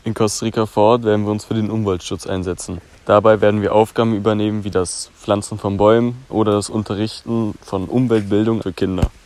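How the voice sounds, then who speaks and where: Ein achtzehnjähriger Mann aus Berlin, der sich ernst und abgelesen als Freiwilliger vorstellt. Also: male voice, on the low side, little pitch movement, fast, hardly any pauses, a little mellow. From Berlin, Germany. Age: teens. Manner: earnest, reads from a script. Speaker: young volunteer. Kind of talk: read-out self-introduction.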